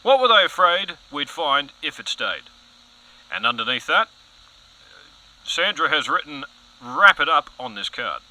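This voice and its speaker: A man's voice: on the high side, big pitch swings, a little slow, pauses often, sharp tone, heavily acted, poor audio. A man playing a pompous, overzealous TV news anchor in an audio comedy, earnest and dramatic. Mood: dramatic, grave.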